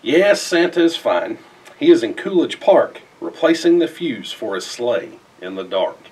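A middle-aged man reads a rhyming children's picture book aloud in an expressive, theatrical way with emphatic phrasing. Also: mid-range voice, measured pace, husky, far from the mic. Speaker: storybook reader. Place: indoors in front of a festive backdrop.

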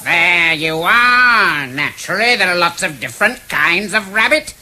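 An actress with a British accent playing a pushy, insistent weasel in a crackly 1970s radio drama. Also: female voice, fairly low pitch, lively intonation, a little slow. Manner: sharp, insistent. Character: pushy, argumentative weasel posing as a rabbit. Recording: old radio broadcast with clicks.

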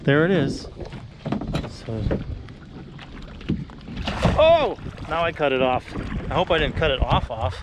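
High-voiced man coaching from a boat. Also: takes it slowly, pauses often, background noise. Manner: encouraging, excited, coaching a child. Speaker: vlogger. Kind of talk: casual coaching commentary. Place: outdoors on a lake from a boat.

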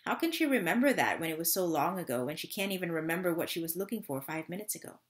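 A woman's voice: on the low side, expressive, fast talker, lightly acted. Woman acting a wistful, restless mother. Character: wistful, restless mother missing her grown son. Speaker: actor in a staged reading. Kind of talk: dramatic third-person monologue reading. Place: small room at home.

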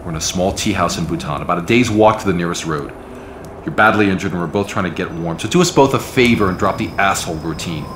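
A man plays a weary veteran spy in an audio drama, stern and protective. He speaks quickly and bluntly in a low voice, pressing for answers, in a roomy echo. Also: close to an everyday voice. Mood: stern, exasperated. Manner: blunt, probing. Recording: roomy echo with clear background noise.

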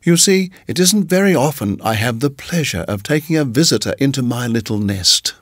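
A man's voice: mid-range voice, expressive, moderate pace, no room sound, natural voice. A syrupy, fussy middle-aged landlady, as a male storyteller raises his voice for her. She coos every line in a lilting, overly pleasant way that never quite settles. The sound is dry and close. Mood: cooing sweetness. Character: sweetly unsettling middle-aged landlady woman.

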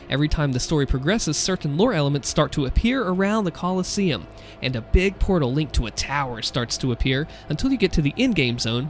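A man hosting a gaming video, reading and commenting in a deliberate, theatrical narrator style. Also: on the high side.

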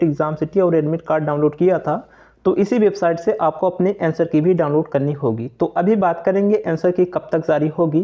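High-pitched young man, explaining. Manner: repetitive, explanatory. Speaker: exam-update channel presenter. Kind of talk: informal explainer voice-over. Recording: dull, lo-fi voice recording.

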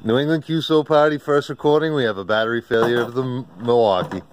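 A man narrating a casual, unscripted walkaround video, speaking in a halting, plain-spoken, colloquial way. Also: mid-range voice, no echo. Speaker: amateur radio hobbyist narrating own video. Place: outdoors in a residential driveway. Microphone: handheld camera or phone, operator off screen. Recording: outdoor ambient noise, birdsong. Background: birds and outdoor ambience.

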